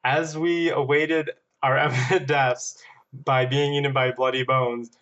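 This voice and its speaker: Man, casual podcast banter, reading stories aloud, playful amused storyteller, mid-pitched voice. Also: level tone, measured pace, a bit bright, highs cut off. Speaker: podcast guest and editor.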